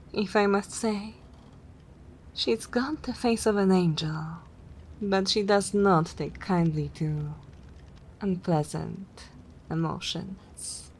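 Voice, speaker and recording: A woman's voice: on the low side, a little mellow, a little hiss or hum. A woman voicing a composed, teasing and menacing vampire mistress in an ASMR roleplay, with a formal, condescending drawl. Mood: cool menace.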